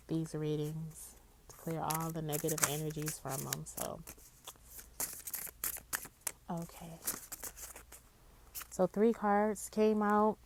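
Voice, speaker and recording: Stuffy nasal lady doing a slow card reading, lowish voice, keeps saying okay to viewers. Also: background noise. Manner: slow and drawn-out, with frequent "okay?" check-ins. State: congested. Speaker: psychic tarot reader. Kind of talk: casual spiritual card reading.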